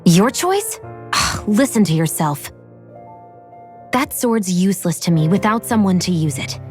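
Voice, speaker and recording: A woman voicing a stern, sharp-tongued young gunslinger in a video game, curt and scolding. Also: medium pitch, expressive, a little slow, natural voice. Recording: game audio over music.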